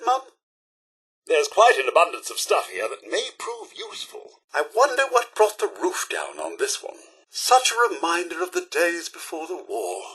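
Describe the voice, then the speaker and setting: An actor playing a polite, fussy, sardonic robot butler in a video game, with a British accent and a prim, theatrical, dryly sarcastic manner. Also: male voice, high-pitched, sharp tone, slightly rough, exaggerated voice. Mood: eager, courteous. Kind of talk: scripted game companion dialogue.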